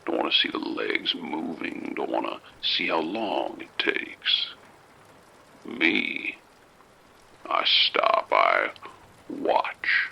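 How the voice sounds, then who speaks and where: A man doing a creepy abductor with a voice sunk way down low and full of grit, husky and breathy at the edges. he drawls slowly but his tone swoops up and down in lively turns, soothing and menacing at once in this audio drama. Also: heavily acted, rough recording. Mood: creepy whisper. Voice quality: gravelly whispery voice. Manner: slow, coaxing and unhurried. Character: creepy soft-spoken male abductor, calm and menacing.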